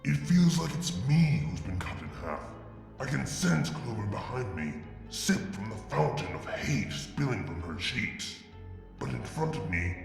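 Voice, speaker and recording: A man reading a fan story aloud as a first-person narrator, in a measured, dramatic way. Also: mid-range voice, creaky. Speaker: fanfiction reader. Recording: echoey room with long reverb and faint music.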